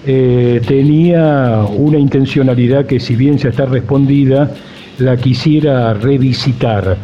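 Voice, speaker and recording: A man interviewing on radio, putting long, formal questions deliberately, with pauses. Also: medium pitch, fairly even intonation. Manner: deliberate, wordy, pausing. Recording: dull lo-fi audio, faint noise.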